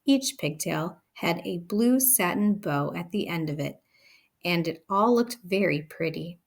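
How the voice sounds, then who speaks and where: A woman reading a children's story aloud as expressive scripted narration. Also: lowish voice, a little slow, creaky. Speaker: storybook reader.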